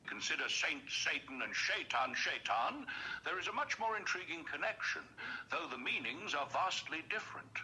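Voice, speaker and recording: A man's voice: on the high side, big pitch swings, normal speed, bright, forceful tone, full character voice, poor audio. A man voicing a jolly, kindly old Santa Claus in a phone chat, exuberant and courteous, explaining things in a scholarly way. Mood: earnest, explanatory. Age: older. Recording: phone speaker playback, some background noise.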